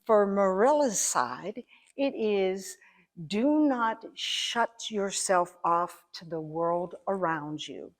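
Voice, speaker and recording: Slow, thoughtful woman answering interview questions. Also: medium pitch, lively intonation. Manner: thoughtful, halting, pieces phrases together. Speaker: interview guest, amateur stage actor.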